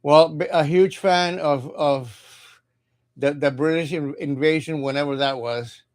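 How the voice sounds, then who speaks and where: A man from Cuba, reading tarot cards in a halting, casual livestream chat and often repeating his words. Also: fairly high pitch, darkish tone. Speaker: tarot reader.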